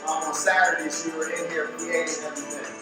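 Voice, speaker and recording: A man with a fairly high voice speaks informally to a live audience as host. His delivery is halting and appreciative. He is heard from some distance in a very echoey room, with clear music behind him. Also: little pitch movement. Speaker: artist-in-residence introducing a puppet show.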